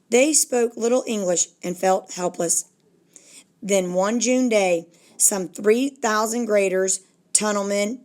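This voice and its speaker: A woman reading a story aloud as a narrator, in a deliberate, dramatic storytelling manner. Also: medium pitch, a little mellow. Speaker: storybook reader. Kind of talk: expressive read-aloud narration.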